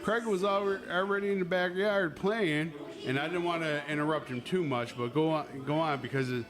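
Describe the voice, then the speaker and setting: A man's voice: fairly high pitch, moderate pace. A man hosting a casual podcast, rambling with lots of fillers and cutting in often, in a busy venue. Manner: rambling, many fillers, keeps interrupting. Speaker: podcast host. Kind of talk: casual chat. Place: busy venue with background chatter. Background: music and chatter.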